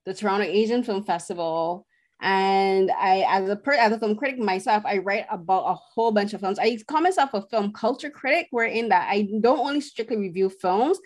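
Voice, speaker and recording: A woman from Barbados, a film critic talking at length as a podcast guest, earnest and pausing to search for words. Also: mid-range voice. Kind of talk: casual podcast conversation.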